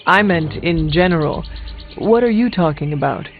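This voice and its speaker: An actor playing a serious, formal warrior hero in a video game, earnest and literal-minded. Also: male voice, fairly high pitch, expressive, moderate pace. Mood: puzzled, dry. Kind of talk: video game dialogue acting. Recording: thin phone-like game audio, music.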